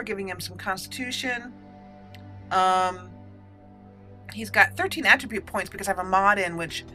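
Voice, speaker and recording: Bright-voiced woman doing gameplay commentary. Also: mid-range voice. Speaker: let's play host. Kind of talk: casual gameplay commentary with roleplay.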